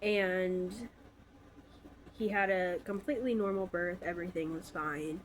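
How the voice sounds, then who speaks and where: A woman's voice: lowish voice, fairly even intonation, unhurried, slight background noise. A woman in her twenties from Alabama, stuffy from a cold, telling her own story in a casual, hesitant vlog with many fillers. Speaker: vlogger.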